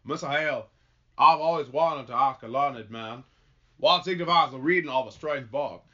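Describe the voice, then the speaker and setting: A man acting a fretful, suspicious rustic husband, earnest and halting, in an amateur play reading. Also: mid-range voice, pushed and bright, lo-fi sound. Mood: puzzled, earnest. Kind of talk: amateur stage-play read-through.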